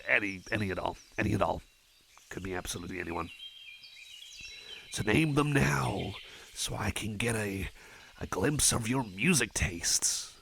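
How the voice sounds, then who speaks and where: Man playing a deep-voiced, shy cyborg pal. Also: animated, unhurried, creaky, long pauses, a bit bright, a little hiss or hum, heavily acted. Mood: casual, rambling. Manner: hesitant, rambling, stammering, easily sidetracked. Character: shy, rambling, friendly male cyborg chum. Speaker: ASMR roleplayer. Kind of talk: personal attention ASMR roleplay.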